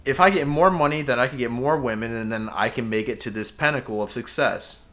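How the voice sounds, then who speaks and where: A man's voice: mid-range voice, fairly quick, fairly bright tone. A young man co-hosting a casual podcast, ranting with passion and bursting into emphatic outbursts. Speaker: podcast co-host.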